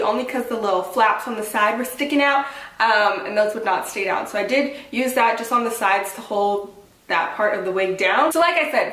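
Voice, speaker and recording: A fairly high-voiced woman, stuffy-nosed from a cold, talks through a casual beauty review. She sounds cheerful and a little apologetic, peppering her speech with fillers. The room echoes around her, and she sits at some distance from the mic. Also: a bit bright. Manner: upbeat and apologetic, filler-heavy with lots of "like" and "um". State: congested from a cold. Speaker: beauty vlogger reviewing a wig. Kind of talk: casual chatty product review. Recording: roomy echo, voice from a distance.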